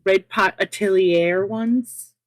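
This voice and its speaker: A woman guest chatting eagerly about crochet during a relaxed livestream. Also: mid-range voice, slow pace, dry recording. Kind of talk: casual crochet chat on a livestream.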